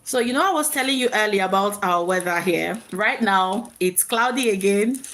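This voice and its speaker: A woman's voice: mid-range voice, lively intonation, moderate pace, fairly bright tone. A woman hosting a casual live chat, speaking reflectively in short, repeated phrases to her viewers. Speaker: live stream host.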